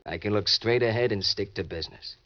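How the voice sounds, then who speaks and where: An American actor playing a hard-boiled, cocky ex-convict obsessed with money in an old-time radio crime drama, talking in tough slang. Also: male voice, on the low side, brisk, lightly acted. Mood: defiant, curt. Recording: dull vintage radio recording with music.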